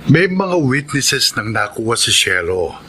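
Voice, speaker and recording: Radio drama insistent schemer, animated forceful man, faint ambient noise behind him. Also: mid-range voice, measured pace. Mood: pushy, persuasive. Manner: persuasive, insistent. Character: pushy, scheming male friend pressing for a favour.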